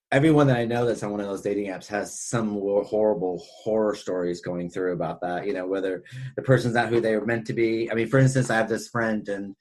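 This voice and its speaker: A man's voice: on the low side, darkish tone. Man chatting casually, rambling along. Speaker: podcast co-host. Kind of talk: casual film discussion.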